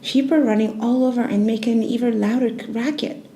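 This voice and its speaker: A woman storyteller reading a children's bedtime tale in a gentle, expressive, soothing narration style. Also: a little high, a little fast, slight background noise.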